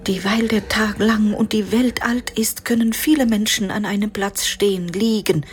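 A woman plays a proud young working-class woman in a radio drama, guarded and cutting. Her medium-pitched voice often drops to a hush, with faint music behind it. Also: fairly even intonation, normal speed, close to an everyday voice. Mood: defiant. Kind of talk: theatrical German radio play acting.

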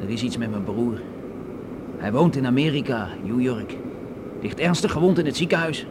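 An actor in a radio play playing a pushy, cagey airline passenger who talks in a blustering, slangy, repetitive way. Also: male voice, medium pitch, lively intonation, moderate pace, natural voice. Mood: confiding. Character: pushy, cagey male passenger spinning paranoid mafia tales. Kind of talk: Dutch radio play acting. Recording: loud aircraft cabin noise behind.

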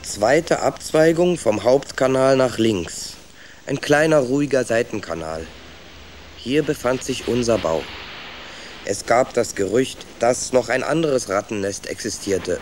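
A man's voice: mid-range voice, lively intonation, a little slow, darkish tone, a little hiss or hum, close to an everyday voice. An actor narrating a children's radio play as a young sewer rat recounting his own story. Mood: matter-of-fact.

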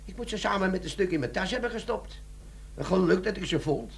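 An actor playing a wily, brazen army sergeant, a chummy smooth talker in a comic radio play. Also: male voice, high-pitched, animated, fairly quick, slight background noise. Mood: feigned innocence. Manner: cheeky, chummy, feigned innocence.

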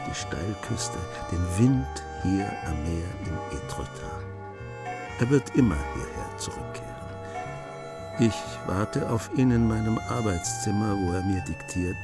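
A writer telling crime adventures in a radio play. His voice is on the low side, phrased with dramatic emphasis, and guitar music plays behind him. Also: expressive, measured pace. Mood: wistful. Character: male writer narrating a master thief's adventures. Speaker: narrator.

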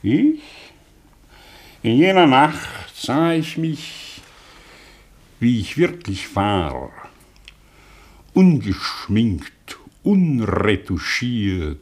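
Radio play: haunted, self-dramatising man, higher-pitched and creaky, theatrical swoops, slow phrases broken by rushes. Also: full character voice. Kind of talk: German radio play acting.

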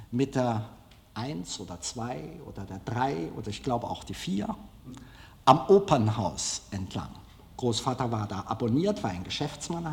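A middle-aged man giving an anecdotal public lecture as a film director, speaking deliberately and rhetorically with dramatic pauses. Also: on the high side. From Germany. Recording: reverberant lecture hall.

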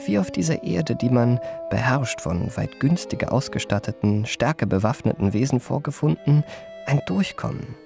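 An actor playing a reflective gentleman memoirist, narrating a radio play in ornate literary phrases with a creaky voice. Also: mid-range voice, lively intonation, over music. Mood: reflective, marvelling. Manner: ornate, measured literary delivery.

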